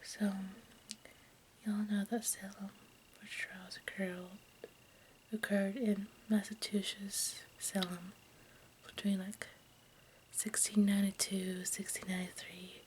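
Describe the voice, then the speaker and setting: Whispering woman, slow and halting. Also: medium pitch, flat delivery, slight background noise, rough recording. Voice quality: breathy unvoiced whisper. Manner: halting, stumbles over words while reading. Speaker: ASMR video host. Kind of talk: whispered ASMR, informal reading of history.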